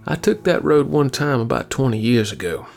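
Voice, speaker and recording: An actor playing a folksy, gritty older trucker, drawling wryly in an audio drama. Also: male voice, medium pitch, expressive, moderate pace, vocal fry, soft background music. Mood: ominous storytelling. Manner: folksy, drawling storyteller, wry. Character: gritty, folksy older male trucker protecting two young women.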